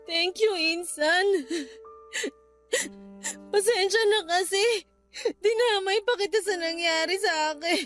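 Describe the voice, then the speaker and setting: A woman's voice: very high voice, takes it slowly, long pauses, a bit bright, heavily acted. An actress playing a heartbroken young woman in a radio drama, with a tearful, wavering, self-blaming delivery. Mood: sad, subdued. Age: young adult. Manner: self-pitying, apologetic, plaintive. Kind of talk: Tagalog radio drama acting. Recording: music bed under voice.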